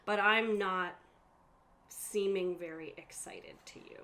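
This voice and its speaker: A woman's voice: mid-range voice, fairly even intonation, slow, sharp tone. Woman game master getting over a cold. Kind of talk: explaining a tabletop game to players. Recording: faint room noise.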